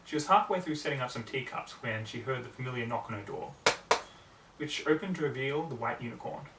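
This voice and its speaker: Guy reading a story out loud, mid pitch, roomy echo, bit far from the mic. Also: animated, brisk. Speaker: amateur audiobook reader. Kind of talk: scripted story narration. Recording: roomy, distant mic, faint background noise.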